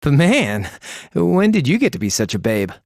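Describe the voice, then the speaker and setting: A man's voice: on the high side, huge pitch swings, moderate pace, creaky, heavily acted. A man voicing a laid-back, cocky young male student who wisecracks, in an anime game dub. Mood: teasing.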